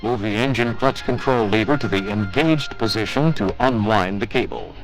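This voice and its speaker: A man's voice: lowish voice, moderate pace, poor audio. Male military training film narrator, music behind. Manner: formal, methodical step-by-step delivery. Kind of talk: scripted instructional narration.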